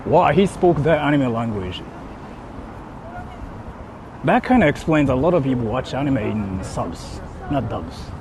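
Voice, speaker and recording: Animated man rambling on a noisy street livestream. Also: mid-range voice, a little slow, long pauses. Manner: rambling, self-questioning, colloquial and profane. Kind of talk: casual livestream chat, reading viewer comments. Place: outdoors on an urban street, walking. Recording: clearly noisy outdoor city street stream.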